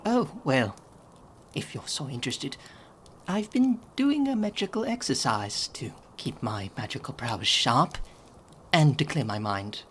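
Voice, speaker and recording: Guy doing a fancy owl demon prince, slow whispery asmr talk, theatrical, mid pitch. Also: slight background noise. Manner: soft-spoken, theatrical, drifting into whispers. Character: regal male owl demon prince, gentle and grandiose. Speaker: voice actor in fan audio roleplay. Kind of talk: ASMR audio roleplay.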